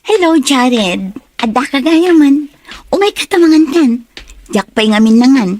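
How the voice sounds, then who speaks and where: A woman's voice: sits high, animated, unhurried, a little mellow. An actress playing a scheming but remorseful young woman in an emotional Ilocano radio drama. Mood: sly, coaxing.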